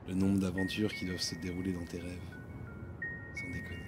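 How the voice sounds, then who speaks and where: A man playing a young cat owner who talks to his pet while driving, rambling and stammering, with the odd swear word. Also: low voice. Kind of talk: audio drama acting.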